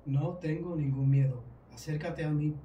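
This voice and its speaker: A man summoning spirits in slow, solemn, repeated short phrases. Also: fairly high pitch, steady tone, warm tone. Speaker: paranormal investigator. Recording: echoey room, distant mic, faint noise.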